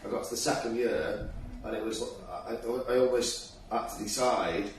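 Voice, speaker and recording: A man's voice: lowish voice, moderate pace. A man hosting a live community talk show, chatting casually and sharing personal stories. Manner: conversational, shares personal stories. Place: community lounge at a railway station. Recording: very echoey room, distant mic, faint noise.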